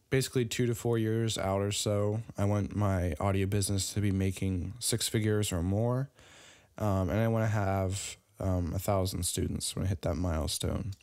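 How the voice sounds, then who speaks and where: A young adult man giving a casual online business lesson, halting often with fillers and restarts. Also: sits low, darkish tone, dry recording. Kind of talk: casual instructional talk.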